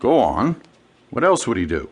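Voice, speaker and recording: An American actor playing a cautious deputy district attorney in a radio drama, polite, official and probing. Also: male voice, medium pitch, wildly swooping pitch, moderate pace, slightly rough. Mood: questioning.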